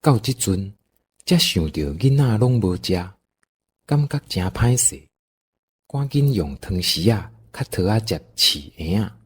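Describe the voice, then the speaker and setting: A man's voice: fairly low pitch, very animated, a little slow, slightly rough. A man with a Taiwanese accent narrating an audio drama in a folksy, dramatic storytelling style.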